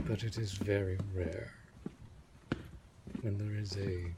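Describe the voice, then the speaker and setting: A man playing a courteous, reserved vampire master in an audio roleplay, speaking formally and often dropping toward a whisper. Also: deep voice. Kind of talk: scripted audio roleplay addressed to the listener. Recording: noisy, hissy background.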